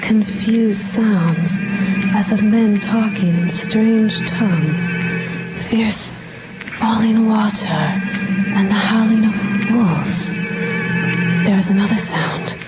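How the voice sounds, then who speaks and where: An actress playing a woman in an eerie hypnotic trance, halting and repetitive, sometimes breathy, in a radio drama. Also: mid-range voice, close to an everyday voice, rough recording. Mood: entranced, eerie. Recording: loud music and effects under voice.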